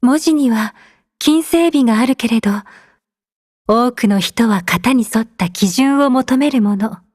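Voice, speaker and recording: A woman voicing a refined, caring and devoted young woman in a video game, with a soft, graceful, composed delivery. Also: on the high side, brisk, no echo, clean studio sound, lightly acted. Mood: composed, instructive. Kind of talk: scripted Japanese game character voice lines.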